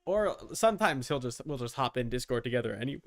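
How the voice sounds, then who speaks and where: A man's voice: medium pitch, animated, fast talker. A man giving casual live gaming commentary as a streamer, informal, with muttered asides and mild swearing. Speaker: video game streamer.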